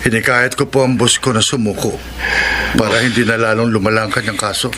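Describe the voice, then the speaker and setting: A man's voice: on the low side, fairly even intonation, brisk, noisy background, close to an everyday voice. A man playing a loyal servant who stammers nervously and speaks very politely to his boss and the police, in a classic radio drama. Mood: deferential. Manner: stammering, deferential.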